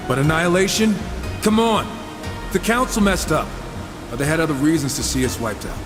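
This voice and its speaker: High-pitched sardonic horseman, echoing hall. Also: sing-song, brisk. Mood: earnest, probing. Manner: sardonic, casual, flippant. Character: wisecracking irreverent gunslinger horseman, cocky yet caring. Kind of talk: video game cutscene voice acting. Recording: long hall reverb, game music behind.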